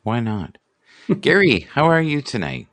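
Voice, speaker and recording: A man's voice: medium pitch, wildly swooping pitch, unhurried, a bit bright. A man hosting a casual live stream chat, joking around and acting out quotes in put-on voices. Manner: jokey, acts out quotes in silly voices.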